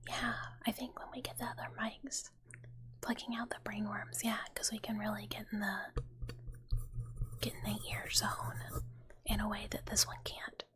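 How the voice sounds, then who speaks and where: A woman livestreamer chatting with viewers in a playful, cutesy ASMR style, in a breathy whisper. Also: mid-range voice, level tone, normal speed, long pauses, a bit bright.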